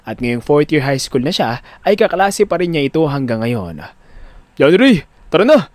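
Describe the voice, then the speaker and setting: A man's voice: mid-range voice, expressive, normal speed, no echo, clean studio sound. A man narrating a scripted audio drama in a dramatic storytelling style.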